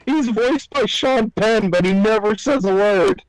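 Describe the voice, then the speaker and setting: A man's voice: high-pitched, unhurried, rough recording. A man chatting casually and informally as a guest on a podcast about movies.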